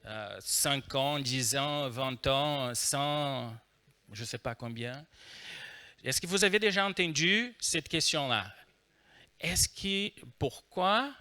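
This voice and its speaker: A man's voice: on the high side, bright, forceful tone. A middle-aged man from Brazil with a Brazilian Portuguese accent, giving a joking conference talk with many fillers and hesitations. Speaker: conference speaker.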